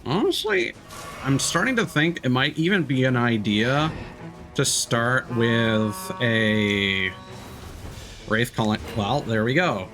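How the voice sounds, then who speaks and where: A man streaming a video game with a lively, swinging mid-range voice. He chats casually and swears as he mutters to himself and at the game. Music plays clearly behind him. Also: mid-range voice, slow. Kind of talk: casual live gameplay commentary.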